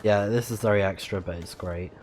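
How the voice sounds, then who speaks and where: A man's voice: fairly low pitch, fairly even intonation, a little fast, darkish tone, faint music behind. A man giving casual let's play commentary, reading on-screen text aloud and murmuring reactions as he plays. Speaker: streamer playing a video game.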